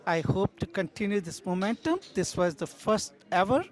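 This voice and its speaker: A man with a notably high voice and an Indian accent speaks as the organizer of an event. His remarks are formal and proud, addressed to a community audience. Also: animated, normal speed.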